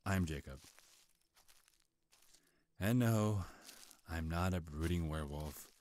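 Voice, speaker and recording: A man playing a charming, sly male vampire in an intimate ASMR roleplay, soft-spoken, flirtatious and hesitant, with deliberate delivery. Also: sits low. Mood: sly, probing. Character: charming, sly male vampire, centuries old, courting prey. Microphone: close, dry microphone.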